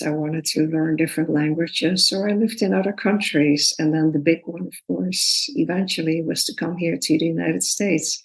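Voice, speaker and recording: A woman in her sixties from the Netherlands, a guest talking thoughtfully and warmly in a podcast interview, with easy laughs. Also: fairly low pitch, rough recording. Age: 60s. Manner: thoughtful, measured, laughs easily. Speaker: guest, personal trainer. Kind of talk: casual interview talk.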